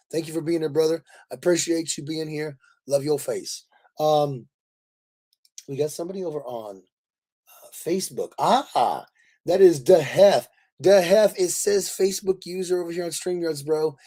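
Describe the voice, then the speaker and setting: A man hosts a casual livestream in a somewhat higher-pitched voice that is raspy and worn from too much talking. He rambles along, effusive and jokey, addressing his viewers like old friends. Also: moderate pace, dark tone. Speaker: livestream host. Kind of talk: casual chat with live viewers.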